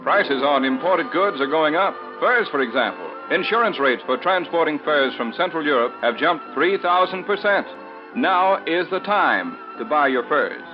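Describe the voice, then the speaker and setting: Higher-pitched 1930s American radio announcer, music behind. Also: male voice, animated, normal speed. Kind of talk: 1930s radio scripted narration. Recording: muffled 1939 radio aircheck, music behind.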